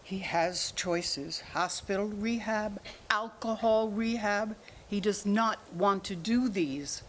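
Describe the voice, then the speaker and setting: A woman's voice: medium pitch, slow pace, fairly bright tone, a little hiss or hum. A woman acting a worried, exasperated mother of grown children in a staged play reading, insistent and reproachful. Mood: weary, reproachful.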